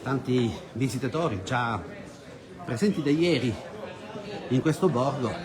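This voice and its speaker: A man's voice: mid-range voice, a little slow. A man giving enthusiastic live walking-tour commentary, addressing viewers as friends. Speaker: host of a live local travel broadcast. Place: outdoors in village streets and squares. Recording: busy outdoor street, crowd and loud music.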